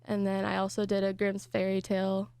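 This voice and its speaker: A woman's voice: medium pitch, completely flat delivery, brisk, creaky, a little mellow, smooth, dry recording. A young woman, a graphic design student, shyly answering interview questions and trailing off with fillers.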